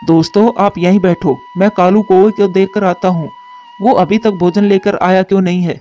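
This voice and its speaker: A dependable, caring pigeon from a children's cartoon, voiced by a man. His voice is high and mellow, recorded a little dully over soft background music. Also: fairly even intonation, normal speed, close to an everyday voice. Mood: purposeful. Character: caring, responsible male cartoon pigeon, group's elder brother. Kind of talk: Hindi children's moral story cartoon dialogue. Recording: dull lo-fi track with music.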